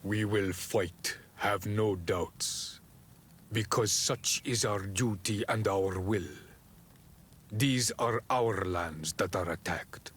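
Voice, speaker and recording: A man playing a proud, solemn native island warrior in a video game, with a deliberate and ceremonial manner. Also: fairly low pitch, a bit bright, a little hiss or hum. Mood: solemn, resolute. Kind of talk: video game cutscene dialogue acting.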